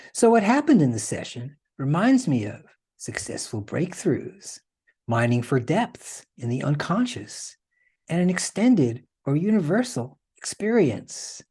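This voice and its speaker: Man presenting with very animated, swooping pitch. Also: husky. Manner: conversational, checks in with rhetorical questions. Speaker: host and presenter. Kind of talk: informal explanatory presentation.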